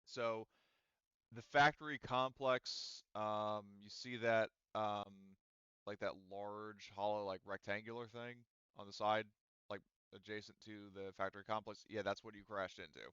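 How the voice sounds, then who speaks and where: A man's voice: on the low side, flat delivery, a little slow, pushed and bright, smooth, no room sound, lo-fi sound. A man running a tabletop game as game master, explaining the setting and rules while thinking aloud with lots of "um" and "like.". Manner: rambling, thinking aloud with many "um" and "like".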